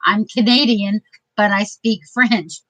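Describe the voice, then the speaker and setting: A woman's voice: medium pitch, slow, a bit bright, dry recording, poor audio. A woman voicing a patient male shopkeeper who politely corrects a customer.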